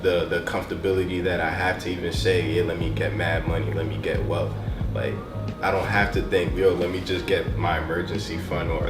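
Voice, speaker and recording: A young man from Massachusetts, a small business consultant, talking in a casual, streetwise way in a profile interview. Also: sits low. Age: young adult. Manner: casual, streetwise, animated self-talk. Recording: echoey room, distant mic, loud music bed. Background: loud background music.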